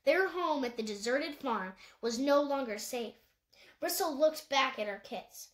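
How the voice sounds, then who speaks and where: A woman's voice: high voice, animated, measured pace, reverberant. A woman reading a children's animal novel aloud as scripted audiobook narration. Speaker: audiobook reader.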